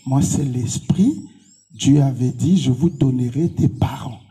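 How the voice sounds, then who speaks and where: Congolese male pastor, interviewed; very mellow, breathy-rough tone, declarative and insistent delivery. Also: medium pitch, a little slow. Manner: emphatic, repetitive short declarations. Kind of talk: interview answers with preaching.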